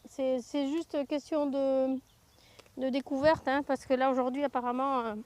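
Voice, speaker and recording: A woman's voice: high voice, level tone, moderate pace, a little mellow. Woman farmer giving a friendly plant tour. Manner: friendly, explains plants, dry humor. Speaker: farmer guiding a visit. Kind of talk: casual chat on a farm tour. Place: outdoors on a farm.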